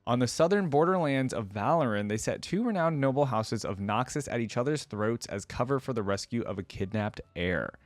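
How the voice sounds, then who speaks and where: A man's voice: medium pitch, lively intonation, a little fast, hardly any pauses, dry recording. A man with a mid-range voice reads game lore aloud as the host of a reaction video. He slips between narration and casual, conversational commentary. The sound is dry, with very little room in it.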